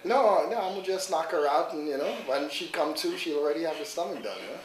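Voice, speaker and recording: A middle-aged Trinidadian man talks in a loose, rambling way, full of "you know" and "like." His voice sits at a medium pitch and is heard from a little way off in an echoey room with faint background hiss. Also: quick pace. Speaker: interviewee, father. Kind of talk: casual interview. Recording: echoey room, mic at a distance.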